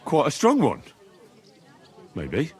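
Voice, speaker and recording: An actor playing an earnest, level-headed and loyal young squire in a medieval video game. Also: male voice, on the high side, wildly swooping pitch, takes it slowly, a little mellow, heavily acted. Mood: reasoning. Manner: earnest, reassuring. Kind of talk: video game cutscene acting.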